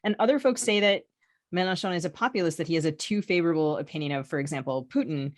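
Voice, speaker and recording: A woman's voice: lowish voice, fast talker. A woman co-hosting a podcast, putting detailed questions to guests in a conversational style. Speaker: podcast co-host.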